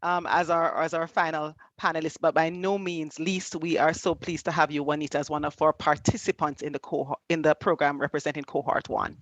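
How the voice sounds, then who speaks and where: A woman's voice: sits low, level tone, brisk, hardly any pauses, no echo. A woman moderating a webinar, introducing the next panelist in an upbeat, welcoming way.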